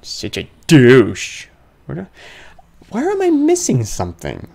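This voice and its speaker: A man's voice: mid-range voice, huge pitch swings, slow pace, creaky, a little mellow, slight background noise. A man giving casual, thinking-aloud commentary on a horror game, grumbling and muttering with sudden exclamations. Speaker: let's play gamer.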